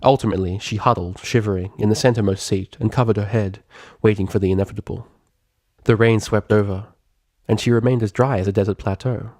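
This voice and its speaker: Male story narrator, fairly low pitch, expressive, articulate, dramatic storytelling, very dry sound. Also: brisk, dry recording. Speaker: audiobook reader of fan fiction.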